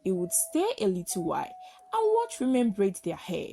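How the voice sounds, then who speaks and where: A woman narrating a folktale as a storyteller, with earnest, expressive and dramatic delivery. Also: mid-range voice, normal speed, creaky, a little hiss or hum.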